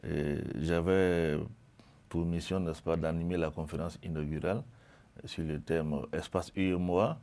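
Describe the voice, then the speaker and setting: A man who works as a senior banking executive, a guest on a TV panel, speaking in a measured, formal way. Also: low voice, fairly even intonation, a little mellow. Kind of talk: TV panel discussion.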